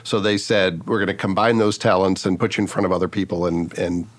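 Man, podcast host, lowish voice, friendly, encouraging. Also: flat delivery, fairly quick. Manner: friendly, encouraging, frequent affirmations. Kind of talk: podcast interview.

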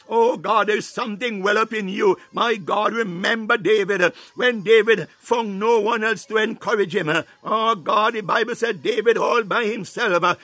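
A man's voice: high voice, sharp tone, no echo. A man preaching a fervent charismatic sermon and prayer, breaking his phrases with repeated exclamations.

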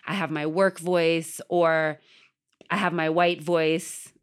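A woman's voice: low-pitched, little pitch movement, slow pace, smooth. A woman journalist, a podcast guest, explaining things in an affirming, conversational way. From Puerto Rican heritage.

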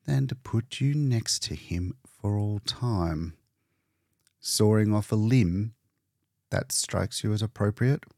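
A man's voice: fairly low pitch, slow pace, vocal fry, darkish tone, no room sound, studio quality, heavily acted. A man voicing a sardonic painter in a storybook reading, with a wry, mock-polite, needling manner. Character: sardonic, bleakly mocking male painter.

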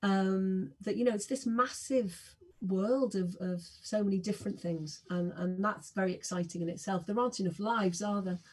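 Sixties woman, husky voice, warmly enthusiastic guest who often restarts her sentences. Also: mid-range voice, moderate pace, hardly any pauses. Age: 60s. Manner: enthusiastic, self-deprecating, frequent restarts. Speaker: podcast guest, singer. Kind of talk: casual interview chat.

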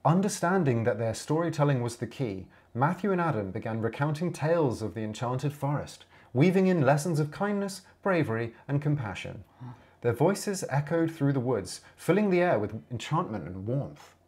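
Man, podcast co-host, storybook reading, playful emphasis, mid-pitched. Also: very animated, normal speed, darkish tone. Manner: reads with playful storybook emphasis, laughing between lines. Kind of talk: reading a story aloud, mixed with casual banter.

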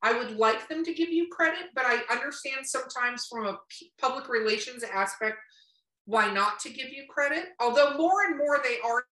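Blunt woman podcasting, bright voice, swears a lot, sounds roomy and off the mic. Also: high-pitched, lively intonation, moderate pace. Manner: blunt, emphatic, swears freely. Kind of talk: casual podcast chat. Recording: roomy echo, distant from mic.

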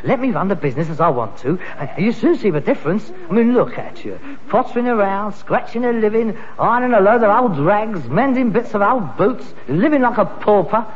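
An exasperated London son in a sitcom, scolding and sarcastic. His voice sits high. The old recording is dull, with long reverb and faint noise. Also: lively intonation, a little fast, few pauses. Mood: exasperated, pleading. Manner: scolding, sarcastic, working-class grammar. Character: exasperated, nagging grown son with frustrated ambitions. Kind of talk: British sitcom acting before an audience.

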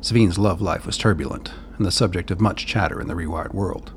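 A man's voice: deep voice, brisk, a little hiss or hum. A man narrating a scripted radio play as its storyteller.

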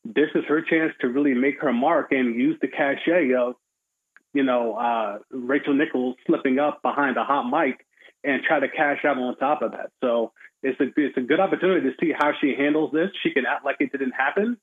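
A man giving opinionated, measured sports commentary as a guest, in colloquial conversational phrasing, over a tinny phone-like line. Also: mid-range voice, breathy edge.

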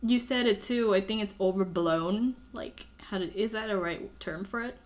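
A woman's voice: medium pitch, moderate pace, darkish tone. A young woman from Thailand, a native Thai speaker, co-hosting a podcast in casual chat, giggling often and using many fillers. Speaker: podcast co-host.

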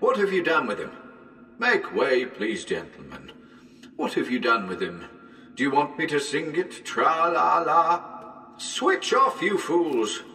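Man playing unravelling radio presenter, halting mutters, slow delivery, huge pitch swings, haunted-house horror drama. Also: sing-song, sharp tone, slightly rough, full character voice. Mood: frightened, agitated, then hysterical, mad. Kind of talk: dramatic reading of a live radio broadcast.

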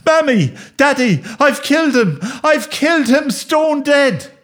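A man's voice: very high-pitched, sing-song, measured pace, voice pushed up, heavily acted. A man voicing a distraught, guilt-stricken young boy in a melodramatic, wailing way for a comic story. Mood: hysterical, tearful.

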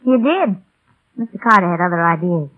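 A woman's voice: on the low side, animated, fairly quick. A wry young dame from forties radio drama. She tosses off slangy wisecracks breezily, and the sound is narrow and tinny like a vintage broadcast. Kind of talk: 1940s radio acting.

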